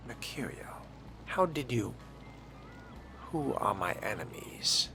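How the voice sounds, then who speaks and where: A man's voice: medium pitch, very animated, takes it slowly, bright, forceful tone, in a whisper, faint music behind, exaggerated voice. A man voicing a wry, curious new vampire fledgling in role-play game dialogue, dry and questioning.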